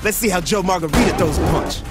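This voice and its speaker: Man playing cocky, high-pitched wisecracking gang boss. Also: quick pace, natural voice. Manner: sarcastic, brash, profane banter. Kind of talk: video game voice acting. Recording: voice under loud game music.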